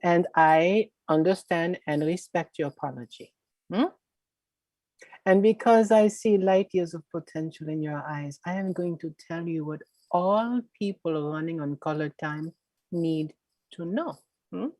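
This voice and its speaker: An actress playing Marie, a confident middle-aged woman mentor from Haiti, who speaks in an unhurried way and ends her lines with coaxing tag questions. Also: fairly low pitch, warm tone, dry recording, natural voice, rough recording. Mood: instructive. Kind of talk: live-streamed stage play reading. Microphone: video call.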